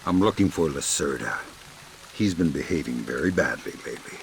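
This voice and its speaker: An actor playing a gruff middle-aged American spy, sardonic and coolly menacing, with deadpan delivery in a video game. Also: male voice, extremely low pitch, fairly quick, slight background noise, exaggerated voice. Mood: cool menace. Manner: deadpan, dry sarcasm.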